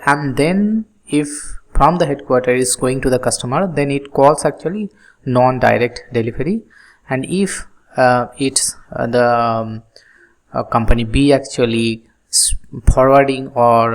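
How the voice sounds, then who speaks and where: An instructor explaining a business process step by step in a tutorial style, with halting phrasing and simple examples. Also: mid-range voice.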